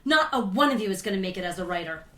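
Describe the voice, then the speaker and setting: Satirical radio play: actress as scolding, foul-mouthed female teacher, bright and animated, roomy sound with faint noise. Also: mid-range voice, rapid-fire. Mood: furious, scornful. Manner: sarcastic, scolding. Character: exasperated, foul-mouthed female writing teacher and journal editor. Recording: echoey room with faint noise.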